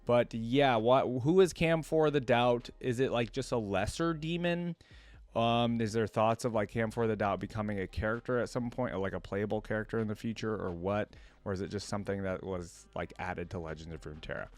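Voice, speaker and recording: A man's voice: fairly low pitch, a little fast, dry recording. Man thinking aloud in a dry, close recording. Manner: musing, questioning, thinking aloud. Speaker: host of a reaction video. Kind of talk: casual commentary reacting to game voice lines.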